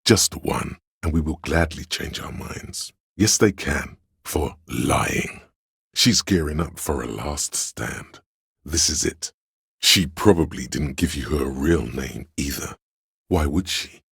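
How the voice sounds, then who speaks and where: Theatrical courtly game adviser, super deep husky voice, grandiose n suspicious, bone dry sound. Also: male voice, expressive, fairly bright tone, dry recording, full character voice. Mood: grave, insistent. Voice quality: gravelly, husky. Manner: grandiose, measured, addressing listener as sire. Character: theatrical inner voice of drama, servile, courtly, suspicious male adviser. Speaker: video game voice actor. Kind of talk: theatrical game character voice lines.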